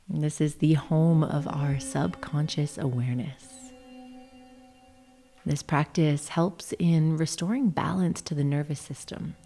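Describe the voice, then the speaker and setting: Casting: female meditation guide, low and dark-toned, gentle and measured, phrase endings melting into whisper. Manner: soothing, gentle, measured, often trailing into breathy whispers. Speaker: yoga nidra guide, session host.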